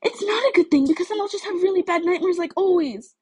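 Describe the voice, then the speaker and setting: An excitable teenage schoolgirl from a superhero story, acted out by a woman reader. Her voice sits well above an everyday register and tips into whines and squeals. She pleads in a bubbly, youthful way. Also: very high voice, quick pace, pitched above the performer's own voice. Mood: whiny pleading.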